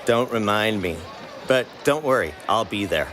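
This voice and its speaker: A man's voice: lowish voice, lively intonation, normal speed, a bit bright, a little hiss or hum. A man voicing a cocky, impatient teenage boy in a fan fiction reading, offhand and dismissive.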